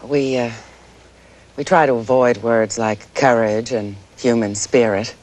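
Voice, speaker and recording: A man acting as a television news commentator speaks at a middle pitch. His delivery is solemn and earnest, placing each phrase deliberately during live coverage. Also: steady tone, a little mellow, close to an everyday voice. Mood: solemn, moved.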